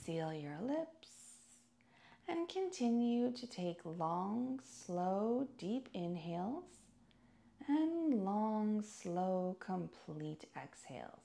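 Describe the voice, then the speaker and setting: Female meditation guide: very unhurried, soothing delivery, noticeable vocal fry, deliberate phrasing. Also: medium pitch, very animated, mellow. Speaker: yoga and meditation teacher.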